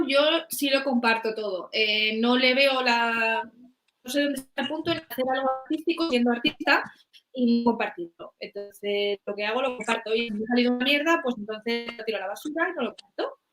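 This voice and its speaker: Bright-voiced woman co-host. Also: a little high, soft background music, poor audio. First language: Spanish. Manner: relaxed, laughs easily, translates in quick summaries. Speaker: co-host and interpreter. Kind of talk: casual live chat, switching to translate.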